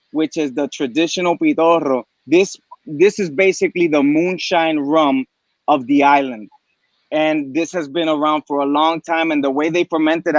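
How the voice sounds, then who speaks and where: A man's voice: a little high, no echo. A man raised in Puerto Rico, a guest on a video call, talking eagerly and rambling with many restarts. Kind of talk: casual chat. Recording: dry muffled video call audio.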